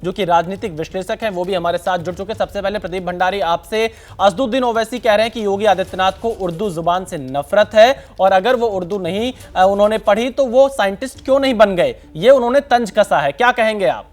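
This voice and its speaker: A man anchoring a TV news debate, briskly introducing guests and putting questions to them. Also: high-pitched.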